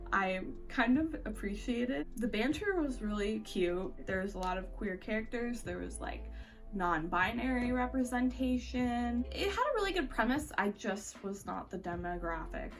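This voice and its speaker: Woman, book vlogger, medium pitch, chatty, informal, giggly, filler-heavy, slightly off-mic. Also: distant mic. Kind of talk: casual chatty book review to camera.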